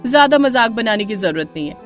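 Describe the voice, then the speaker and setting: Woman as a young daughter-in-law, higher-pitched, coaxing, determined, caring, cartoon family story. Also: expressive, fast talker, soft background music, natural voice. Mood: insistent.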